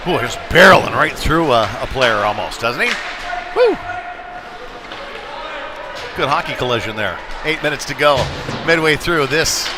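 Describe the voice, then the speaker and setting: A man doing live play-by-play commentary of a youth ice hockey game, in a folksy, home-team way. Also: medium pitch. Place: indoor ice rink, at the game. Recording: loud rink noise with puck slams. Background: loud rink ambience, bangs.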